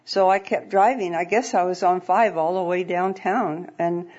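A woman in a church congregation tells her testimony in an earnest, plain, storytelling way, with a slightly low voice. She pauses now and then with small fillers. The sound is dull and lo-fi. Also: a little mellow. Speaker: congregation member giving a testimony. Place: church service.

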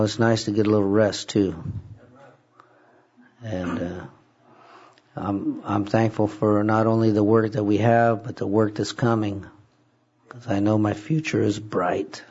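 A man with a raspy, hoarse voice leading a church service, talking casually and haltingly with many fillers. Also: on the low side, darkish tone. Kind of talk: casual talk from the pulpit. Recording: dull lo-fi church recording.

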